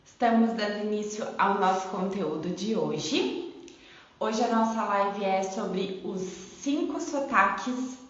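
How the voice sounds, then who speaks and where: A woman's voice: mid-range voice, fairly bright tone. A woman with a gaúcho accent from southern Brazil, giving an informal, explanatory live-stream lesson as a teacher and often checking in with tag questions. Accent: southern Brazilian, Rio Grande do Sul (gaúcho). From Rio Grande do Sul, southern Brazil. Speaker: belly dance teacher hosting a live lesson. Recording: very echoey room, dull muffled sound.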